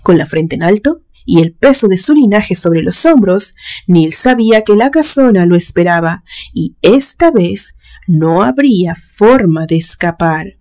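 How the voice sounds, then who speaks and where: A woman reading a literary suspense story aloud in a measured, dramatic storytelling manner. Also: fairly low pitch, animated, dark tone. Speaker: narrator reading a horror story.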